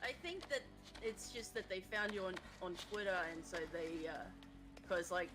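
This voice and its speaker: A woman chatting casually on a stream, rambling and halting, dropping in Japanese phrases. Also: mid-range voice, a bit bright, faint music behind, rough recording. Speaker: co-streamer guest. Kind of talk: casual chat.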